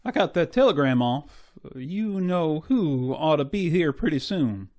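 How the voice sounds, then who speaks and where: Man as loyal frontier sidekick: rustic, respectful, somewhat high voice, radio western. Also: expressive, unhurried, darkish tone. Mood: dutiful. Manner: deferential, folksy. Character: loyal, folksy deputy-like helper to the marshal. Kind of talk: western radio drama acting.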